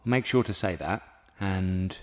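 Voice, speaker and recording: Low-voiced brusque secretary in echoing hall. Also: male voice, slow. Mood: blunt, coaching. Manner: formal, matter-of-fact, probing. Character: brusque, coaching male secretary of a 1780s charity asylum. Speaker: actor in an audio drama. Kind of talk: audio drama dialogue. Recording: echoey hall with long reverb.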